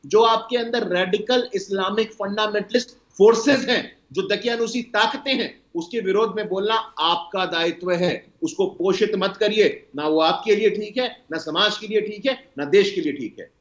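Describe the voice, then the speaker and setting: High-pitched Indian man debating, roomy sound. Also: normal speed, hardly any pauses, a bit bright, muffled recording. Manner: emphatic, lecturing, argumentative. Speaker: political party spokesperson on a TV debate panel. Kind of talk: televised political debate.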